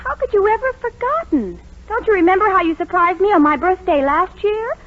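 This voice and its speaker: An actress playing a coy, gushy young American sweetheart in a 1940s radio comedy, wheedling and hinting with an edge of exasperation. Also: female voice, extremely high pitch, very animated, brisk, very noisy. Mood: exasperated. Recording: muffled 1940s radio recording with audience.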